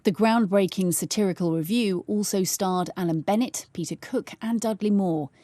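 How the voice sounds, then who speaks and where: A British woman voices a scripted television news obituary. Her tone is mellow and relaxed, at a middling pitch, with a measured correspondent's delivery. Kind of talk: scripted obituary report narration.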